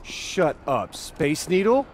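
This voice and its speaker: A man's voice: on the high side, sing-song, slow pace, slight background noise. A man playing a cocky, wisecracking young rebel hero in a naturalistic video game style, sarcastic and playful. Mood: determined. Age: young adult. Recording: music behind the voice.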